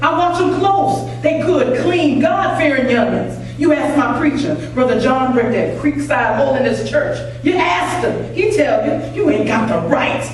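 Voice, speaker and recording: A poor, God-fearing Southern mother played by a woman reading a stage play, defiant and fiercely protective, speaking in plain rural dialect. Her high voice carries through a very echoey room, picked up from a distance. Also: animated, unhurried, hardly any pauses, faint music behind. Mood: pleading, defiant. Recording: echoey room, distant mic, faint noise.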